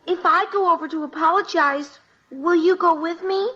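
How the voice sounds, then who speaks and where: A woman's voice: very high voice, normal speed, bright, forceful tone. A woman playing an earnest, stubborn little girl in a 1950s radio family comedy. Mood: proud, eager. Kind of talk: 1950s radio sitcom acting. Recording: dull old radio recording.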